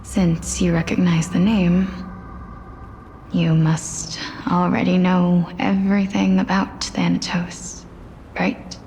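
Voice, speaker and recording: A woman voicing a serene, melancholy death spirit in a fantasy video game, calm, hushed and quietly knowing. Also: fairly low pitch, slow pace, slight background noise. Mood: quietly probing. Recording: long echoing reverb, faint ambience.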